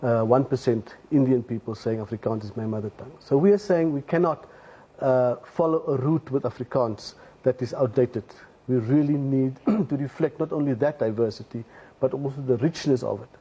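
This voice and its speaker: South african guy on a tv panel, calm and firm, kinda husky. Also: mid-range voice, dull audio. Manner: measured, assertive. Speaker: studio guest. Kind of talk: panel discussion.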